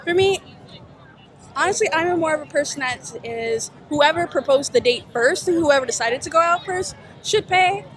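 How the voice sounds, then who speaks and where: This is a woman from Detroit being interviewed outdoors at a festival. She speaks in a high voice and answers earnestly, weighing her words. Faint street noise sits behind her. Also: lively intonation. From Detroit, USA. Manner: thoughtful, earnest, measured.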